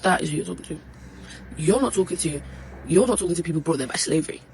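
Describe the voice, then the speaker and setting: A woman's voice: deep voice, animated, fast talker, gravelly, darkish tone, a little hiss or hum. A woman ranting to camera in a blunt, exasperated, scolding way. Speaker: social media commentator. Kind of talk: ranting direct-to-camera video.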